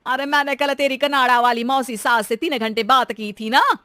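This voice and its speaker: A woman's voice: sits high, moderate pace, pushed and bright, dry recording, clean studio sound. A woman voicing a demanding, nosy elderly mother-in-law with a bossy, wheedling manner in story dialogue. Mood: puzzled.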